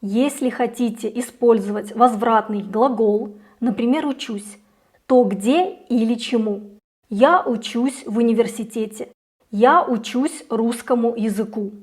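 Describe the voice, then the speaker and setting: A young woman teaching a scripted grammar lesson, saying each example slowly and clearly. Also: fairly high pitch. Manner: slow, careful, clearly articulated examples. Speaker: Russian language teacher.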